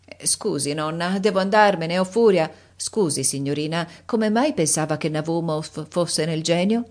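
A woman voicing a breezy young male officer in a read-aloud story, brisk and offhand. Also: sits low, a little mellow, close to an everyday voice. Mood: lively. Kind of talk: audiobook character voice.

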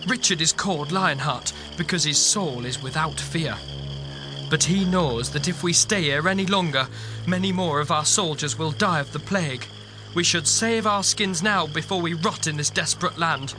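A man's voice: a little high, normal speed, lightly acted. A man acting an anxious, loyal crusader comrade in a children's audio drama, earnest and theatrical. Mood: foreboding. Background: music.

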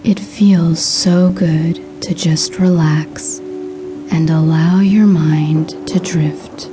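A woman narrating a scripted guided sleep hypnosis in a soothing, hushed, breathy voice with measured pauses. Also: sits low, fairly even intonation, over music.

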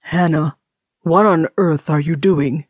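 A woman's voice: deep voice, slow, darkish tone, slightly rough, dry recording, clean studio sound, full character voice. A woman voicing a stern yet slightly amused Old West man, scolding in an exasperated manner, in an audiobook.

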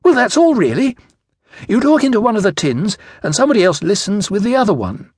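Guy doing a keen british schoolboy in a storybook, pitched way up, pushy and excited, swoopy intonation. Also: brisk, husky, dry recording, studio quality, exaggerated voice. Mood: eager, explaining. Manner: enthusiastic, insistent, slangy. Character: eager, impulsive eleven-year-old English schoolboy.

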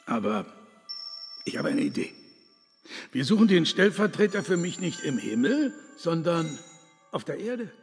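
An actor playing an injured, kindly old Santa Claus in a children's radio play, plaintive and groaning in manner. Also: male voice, on the high side, sing-song, unhurried, vocal fry, pauses often, big-room sound, faint music behind, full character voice. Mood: subdued, thoughtful.

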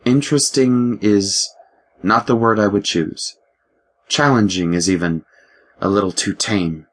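A quiet, teasing man voiced by the narrator, low and husky. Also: measured pace. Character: wary, wry young man talking to a ship. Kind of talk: audiobook character voice.

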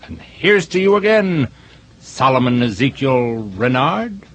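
An actor playing a wry older husband in an audio drama, with a dry, teasing, formal manner. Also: male voice, medium pitch, sing-song, measured pace, a bit bright. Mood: goading. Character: wry older husband, needling his brother. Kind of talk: audio theater acting.

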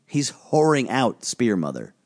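Brief: a firm, commanding magician with a lively, raised voice, played by a male narrator. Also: mid-range voice, measured pace, no room sound, clean studio sound. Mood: stern. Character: stern protective male magician. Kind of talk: audiobook character voice.

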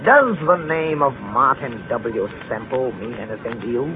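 An actor playing a smooth, scheming big-city lawyer in a 1940s radio drama. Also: male voice, mid-range voice, animated, moderate pace, over music, natural voice. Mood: formal, businesslike. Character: smooth, self-assured, scheming New York lawyer. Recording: tinny 1940 radio broadcast recording.

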